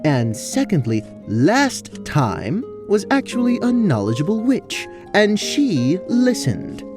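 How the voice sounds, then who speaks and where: A man's voice: on the high side, sing-song, unhurried, music in the background, pitched above the performer's own voice. Man as a pompous, bristling kingdom envoy. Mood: indignant. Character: proud, indignant, formal male envoy of a kingdom. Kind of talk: fantasy audio drama acting.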